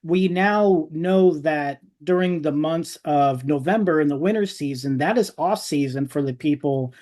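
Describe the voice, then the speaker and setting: A man's voice: on the high side, unhurried, a little mellow. A man co-hosting a casual podcast conversation, agreeing often and chatting easily. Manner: agreeable, frequent affirmations. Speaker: podcast co-host.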